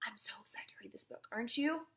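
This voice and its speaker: A young woman reading a picture book aloud to small children, asking them questions and often dropping into hushed asides. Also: a little high, whispered. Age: young adult. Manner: animated, asks the child listener questions and pauses for answers. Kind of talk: interactive storybook read-aloud for small children.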